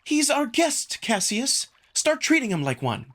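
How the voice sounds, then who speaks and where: A man voicing a sharp-tongued female alien wolf in a visual novel, teasing and scolding her brother. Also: very high-pitched, huge pitch swings, a little slow, voice pushed up, full character voice.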